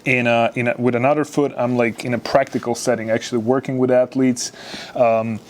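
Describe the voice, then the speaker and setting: A man's voice: medium pitch, level tone, brisk. A young man with a Serbian accent, a guest talking in a casual interview in a studio, with lots of fillers and easy laughter. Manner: chatty, many fillers, laughs easily. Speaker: basketball researcher, guest.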